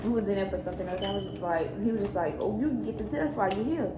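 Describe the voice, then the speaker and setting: A young woman with a medium-pitched voice recounts her own story conversationally, quoting back what was said. She is in an echoey room with noticeable noise. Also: very fast. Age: young adult. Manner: conversational, quotes remembered exchanges. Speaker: daughter co-hosting a storytime vlog. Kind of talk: casual personal storytelling.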